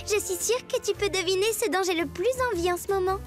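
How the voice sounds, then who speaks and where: A woman's voice: very high-pitched, quick pace, faint music behind. A woman voicing a sweet, coquettish young schoolgirl in a cartoon, coy and affectionately teasing. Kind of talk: French cartoon dubbing.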